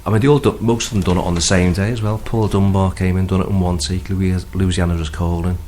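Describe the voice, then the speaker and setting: A man's voice: deep voice, flat delivery, fast, warm tone, slight background noise. A man from Liverpool with a Liverpool accent, chatting casually and a bit hesitantly as a singer-songwriter guest in a radio interview. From Liverpool, England.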